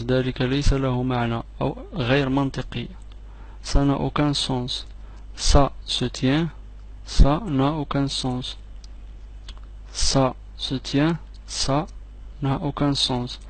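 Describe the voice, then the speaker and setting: A man whose first language is Arabic, teaching phrases in a slow repeat-after-me drill and then translating each one quickly. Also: medium pitch. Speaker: French teacher.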